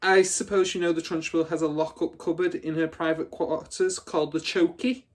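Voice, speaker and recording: A man's voice: fairly high pitch, fairly even intonation, normal speed, voice pushed up, natural voice. A man voicing a rugged ten-year-old bully girl who is scornful, boastful and dramatic in a storybook reading. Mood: menacing relish. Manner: scornful, relishing grisly details.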